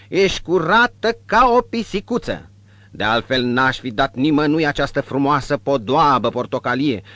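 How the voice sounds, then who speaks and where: Man voicing a rough old tavern-keeper woman, sharp-tongued, shrill raised voice, coarse. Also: dry recording. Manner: brutal, snappish, then sugary flattering. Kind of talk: audiobook character voice.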